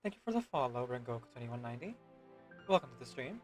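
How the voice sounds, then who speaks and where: A man's voice: mid-range voice, big pitch swings, fast. A man giving casual live game stream commentary in a polite, easygoing manner. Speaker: video game streamer, hobby voice actor. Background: faint game music.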